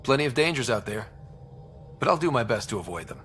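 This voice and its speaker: A man's voice: mid-range voice, sing-song, fast talker, a bit bright, faint music behind. A man playing an earnest young leader of space explorers in a video game, speaking plainly and sincerely. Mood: easygoing.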